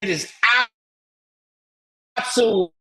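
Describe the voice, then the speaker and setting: A woman's voice: mid-range voice, measured pace, breathy edge. A woman with an American accent giving a motivational sales coaching talk as a trainer, emphatic and folksy, with rhetorical questions. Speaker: network marketing trainer.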